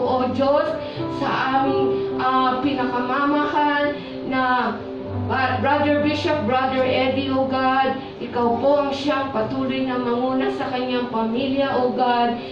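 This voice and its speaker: A Filipino woman leading a fervent, pleading church prayer in short, devout phrases, calling repeatedly on God. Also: sits high. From Philippines. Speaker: prayer leader at a church service. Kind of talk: fervent spoken closing prayer with benediction. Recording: echoey church hall, distant muffled mic, music behind. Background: worship music.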